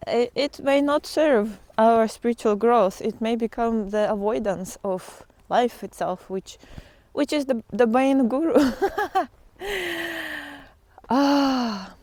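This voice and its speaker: A woman giving an informal spiritual talk to followers in a halting, reflective way with drawn-out pauses, as a vlogger out on a walk. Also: a little high, a little mellow.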